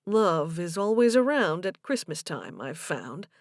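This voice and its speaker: A woman reader takes the part of an honest man in love in an audiobook. The delivery is earnest, gentle and measured. The sound is dry and close, with no room around it. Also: fairly low pitch, big pitch swings, no echo. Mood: confiding. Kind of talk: audiobook character dialogue.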